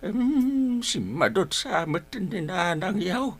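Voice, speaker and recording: Querulous old father, swooping high voice. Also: very animated, takes it slowly, gravelly, fairly bright tone, heavily acted. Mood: sulky. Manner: querulous, complaining. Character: grumpy sick old father in hospital, demanding, short-tempered. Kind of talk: radio drama acting. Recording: radio drama with music underneath.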